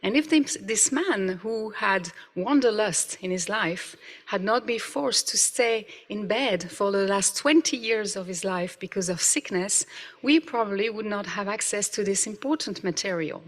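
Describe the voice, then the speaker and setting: A woman's voice: medium pitch, lively intonation, a little slow. A woman, an English speaker, giving an academic conference talk, reading carefully with frequent "uh" fillers. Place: conference hall. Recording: reverberant hall.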